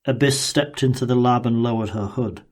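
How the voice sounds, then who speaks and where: A man narrating dramatic fiction for an audiobook in a measured, deliberate way. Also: medium pitch, steady tone.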